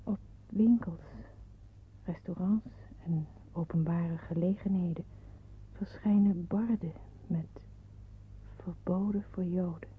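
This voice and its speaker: An actress playing a grave young woman narrating a radio drama in the first person, hushed and measured. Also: fairly low pitch, steady tone, heavily acted. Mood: grave, somber. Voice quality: very breathy, hushed. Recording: muffled phone-like old radio recording.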